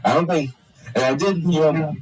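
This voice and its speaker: A young man chatting casually on a stream, halting with many restarts and fillers. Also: on the high side, darkish tone, husky, distant mic, poor audio. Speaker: streamer and hobby voice actor. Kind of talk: casual chat on a live stream.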